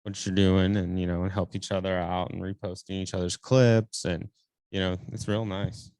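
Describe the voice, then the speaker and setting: A man's voice: low-pitched, little pitch movement, moderate pace, darkish tone, no room sound. A man from California, a guest comedian chatting in a laid-back, easygoing way. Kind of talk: casual chat.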